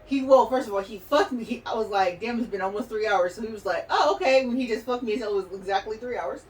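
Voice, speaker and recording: A woman's voice: on the high side, fast. A young woman chatting casually and candidly about her personal life on a podcast, frank and slangy. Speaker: podcast co-host or guest. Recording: distant mic, noisy room sound.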